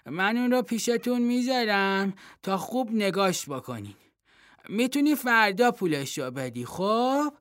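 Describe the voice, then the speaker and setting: A man voicing a naive, simple-minded young fool in a read-aloud folktale, talking in a childlike, pleading, excitable way. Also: high voice, no room sound, clean studio sound. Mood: coaxing. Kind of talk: storybook reading.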